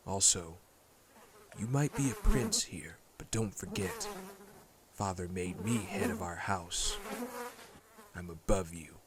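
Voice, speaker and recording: A man's voice: sits low, slow, long pauses, a crowd in the background. A man playing a stern but compassionate lord and grieving head of his house in a dramatic audio roleplay, speaking formally and emphatically. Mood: firm, earnest. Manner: earnest, formal, emphatic, indignant.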